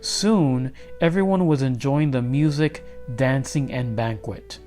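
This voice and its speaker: Man, children's read-aloud narrator, medium pitch, animated delivery, background music. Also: unhurried. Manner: animated, with acted character voices. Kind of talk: expressive children's storybook narration.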